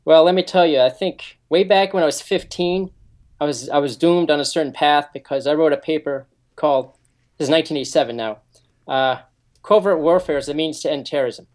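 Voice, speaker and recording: A man's voice: a little high, a little fast, darkish tone. 40s guy, security consultant type, explains stuff earnestly on a radio show, keeps restarting. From United States. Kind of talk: interview answers.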